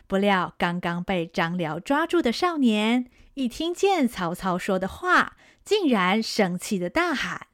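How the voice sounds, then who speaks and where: Lively woman narrating a radio drama. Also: mid-range voice, unhurried, hardly any pauses, no room sound, studio quality. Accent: Taiwanese Mandarin. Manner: vivid storytelling.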